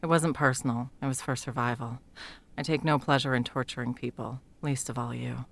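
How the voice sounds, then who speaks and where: A woman playing a sardonic, foul-mouthed sound mage in an intimate fantasy audio roleplay, talking sarcastically and emphatically with a creaky edge. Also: very deep voice, steady tone, a little fast, exaggerated voice. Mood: grim, regretful. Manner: sarcastic, emphatic, swearing freely. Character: sardonic, foul-mouthed female sound mage, guiltily helping an old friend.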